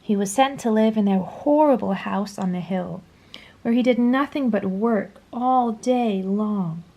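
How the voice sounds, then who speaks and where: A woman reading a children's story aloud in a dramatic storytelling style, dropping to a hush at phrase endings. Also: mid-range voice, a little slow, muffled recording. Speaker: storybook reader. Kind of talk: expressive children's read-aloud narration.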